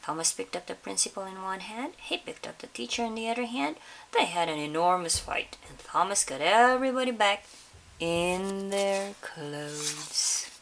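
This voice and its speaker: A woman reads a children's story aloud with a very animated, lowish voice that has some creak in it. She pauses to question her young listeners. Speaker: picture-book reader for children. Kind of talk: expressive read-aloud storytelling. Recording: faint background hiss.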